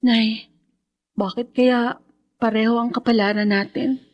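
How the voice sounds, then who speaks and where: An actress playing a troubled grown daughter, earnest and questioning, in a radio horror drama. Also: female voice, on the high side, fairly even intonation, a little slow, dull audio, natural voice. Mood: dismayed.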